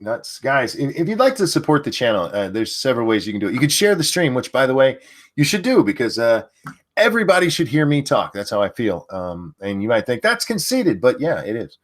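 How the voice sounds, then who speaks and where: A man's voice: mid-range voice, very animated, fairly quick. An older man hosting a livestream, mocking what he reads aloud in crude, sarcastic, swearing commentary. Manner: reads chat and an article aloud. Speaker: livestream host.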